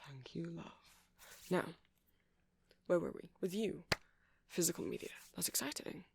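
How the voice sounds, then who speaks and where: A soft-spoken man doing a rambling ASMR roleplay as a shopkeeper, hesitant and apologetic in manner. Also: fairly high pitch, lively intonation, measured pace, creaky. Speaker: character performer playing a shopkeeper.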